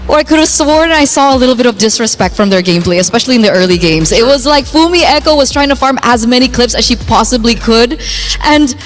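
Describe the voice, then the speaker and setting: A woman's voice: fairly high pitch, expressive, fast, soft background music. A woman analyzing an esports match at the desk, conversational and lightly teasing.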